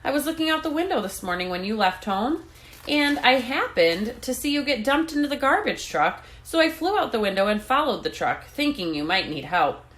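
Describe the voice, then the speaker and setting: Storybook bird, small and female, done by a woman reader: raised pitch, brisk, matter-of-fact, reassuring rescuer. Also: very animated, fairly bright tone. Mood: explaining.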